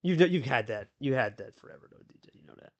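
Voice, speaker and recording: A man's voice: expressive, fast talker, highs cut off. A man doing casual play-by-play commentary on a card game, chatty and hyped, talking with his co-host. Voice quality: deep radio voice.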